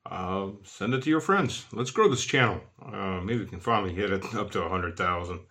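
A man's voice: sits low, expressive, fairly quick, pushed and bright. A man doing a friendly, easygoing channel promo and sign-off, with lots of fillers. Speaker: channel host.

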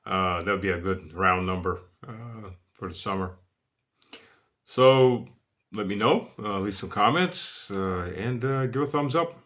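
A man's voice: on the low side, animated, a little slow, fairly bright tone. A man doing a friendly, easygoing channel promo and sign-off, with lots of fillers. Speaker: channel host.

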